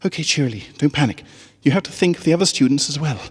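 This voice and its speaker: A man's voice: a little high, animated, fast talker, breathy edge, big-room sound, pitched above the performer's own voice. A man voicing an anxious, motherly female pony schoolteacher from a story, fretful and earnest. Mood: self-calming.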